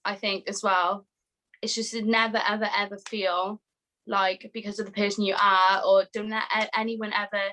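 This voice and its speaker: Bright-voiced young woman. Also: medium pitch, flat delivery, moderate pace, poor audio. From Wales. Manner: chatty, laughs often, emphatic. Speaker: guest. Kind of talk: casual chat.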